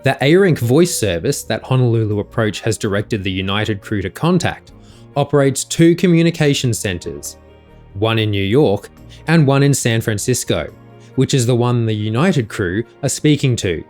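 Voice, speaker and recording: A man reading a scripted explanatory narration in a measured, precise, instructional way. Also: medium pitch, lively intonation, darkish tone. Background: music.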